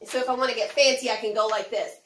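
A middle-aged woman giving a lesson and storybook read-aloud for young children, speaking in simple, expressive phrases. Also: a little high, fast talker. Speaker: preschool Bible teacher. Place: home kitchen, indoors. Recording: roomy kitchen echo.

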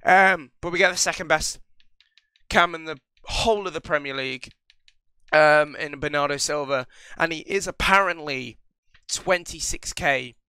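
Young man, bright clear voice, slightly stuffy from a cold, rambling gameplay chat, dry close mic. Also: fairly high pitch, normal speed, dry recording. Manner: rambling, chatty, with frequent ums and restarts. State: recovering from a cold. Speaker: gaming channel host. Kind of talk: casual gameplay commentary.

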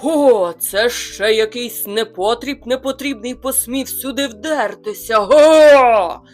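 A woman's voice: slow, faint music behind. A woman voicing a huge, furious male bear who roars threats in a storybook reading. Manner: roaring, booming threats.

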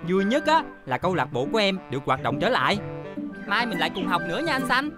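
A woman's voice: medium pitch, very animated, quick pace, lightly acted. A woman with a Southern Vietnamese accent voicing an earnest, bossy young pencil schoolkid who leads a club in a children's cartoon. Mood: happy, grateful. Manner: earnest, rule-minded. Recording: loud music under voice.

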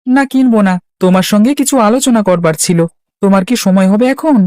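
A man's voice: high voice, fairly quick, darkish tone, dry recording, studio quality, close to an everyday voice. A man voicing an earnest, polite young village lad in a cartoon, helpful and concerned. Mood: earnest, planning. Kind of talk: Bangla cartoon voice acting.

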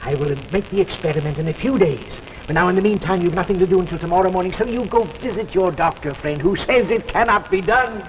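Driven, demanding older inventor, played by a man, high-pitched and formal, 1950s TV drama on a noisy soundtrack. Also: fast talker, hardly any pauses, lo-fi sound, natural voice. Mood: driven, insistent. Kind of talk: 1950s live television drama acting.

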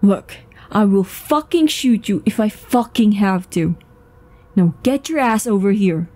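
Lady acting out bossy sweary woman in an audio drama, slow talking, soft mellow tone, urgent. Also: mid-range voice, lively intonation. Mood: cold, threatening. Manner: swearing, urgent, breathy sighs and stammers. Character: tense, foul-mouthed, commanding woman with a secret. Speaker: voice actor in an audio roleplay. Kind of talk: dramatic ASMR roleplay acting.

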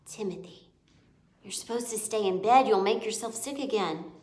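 A woman's voice: medium pitch, normal speed, darkish tone, close to an everyday voice. A woman voicing a caring, soothing mother mouse in a storybook reading. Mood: gently firm. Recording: echoey room.

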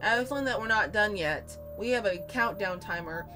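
A woman's voice: mid-range voice, brisk. A woman giving casual, rambling, exclaiming reaction commentary while she plays a video game as host. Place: indoors, seated at a gaming setup. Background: loud game music.

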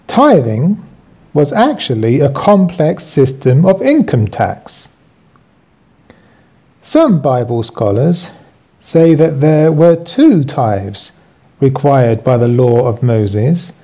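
A man reading his own written teaching aloud as host, in a deliberate, explanatory way. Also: medium pitch, dark tone.

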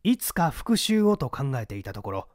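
Expressive male mystery-story narrator. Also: medium pitch, no room sound, studio quality. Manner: measured storytelling with dramatic asides. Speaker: reader.

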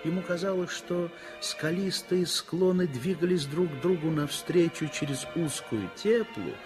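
A man narrating a 1960s radio play in a measured, expressive storytelling manner. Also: on the high side. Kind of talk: scripted literary narration, 1960s radio theatre.

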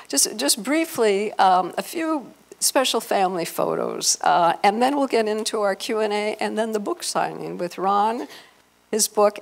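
A woman's voice: mid-range voice, gravelly. An older woman with an American accent giving an informal, effusive talk to an audience on a handheld microphone in a conference room. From Los Angeles, United States. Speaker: event host and speaker. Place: hotel conference room, indoors. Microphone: handheld microphone through PA.

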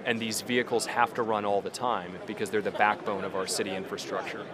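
Low-voiced man pitching at a trade show. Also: flat delivery, brisk. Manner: earnest, fluent pitch. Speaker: company executive. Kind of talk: promotional interview. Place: busy trade show hall. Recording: crowd chatter behind.

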